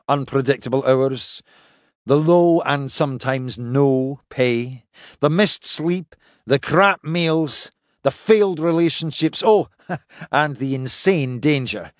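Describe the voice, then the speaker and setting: Man acting a hearty, roguish space captain, lively pitch. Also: no echo, studio quality. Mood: mock-solemn. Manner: exclamatory, theatrical, colloquial. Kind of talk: audiobook character acting.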